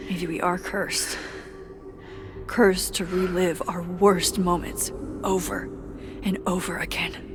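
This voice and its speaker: An actress playing a haunted, guilt-ridden young woman in a video game, introspective and wry, speaking in a hushed, deliberate way. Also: mid-range voice, close to an everyday voice. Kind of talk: video game cutscene acting, soliloquy.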